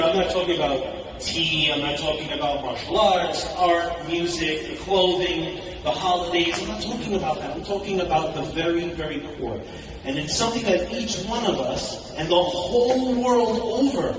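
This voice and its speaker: A man with a slightly high voice gives an eager, chatty talk at a conference. He is picked up from a distance in a large, booming hall, and steady background noise runs under his voice. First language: English. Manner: enthusiastic, conversational, poses questions to the audience. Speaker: conference speaker. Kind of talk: public speech at a conference. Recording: echoey hall recorded from a distance, audible noise.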